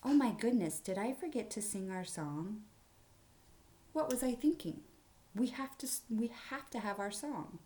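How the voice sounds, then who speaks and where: A woman's voice: mid-range voice, animated, normal speed, dark tone. A woman teacher giving a children's writing lesson in simple, explanatory phrases. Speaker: elementary school teacher. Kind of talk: read-aloud writing mini-lesson for children.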